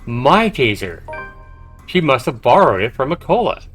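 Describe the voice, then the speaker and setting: A man's voice: medium pitch, big pitch swings, moderate pace, a bit bright, slightly rough. A man livestreaming a visual novel, reading the text aloud dramatically and reacting expressively as he comments. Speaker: game streamer. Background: game music.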